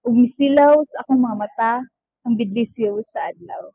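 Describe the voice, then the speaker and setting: High-pitched woman playing a lovestruck Filipina teen. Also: normal speed, dark tone, dry recording. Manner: bashful, flustered hesitations. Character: shy, lovestruck teenage girl student. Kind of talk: amateur radio drama acting. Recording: muffled lo-fi recording.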